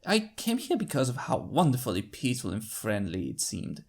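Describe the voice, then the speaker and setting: Male narrator, expressive first-person fiction read, lively pitch swings, gravelly edge. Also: normal speed. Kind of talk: first-person scripted story narration in character.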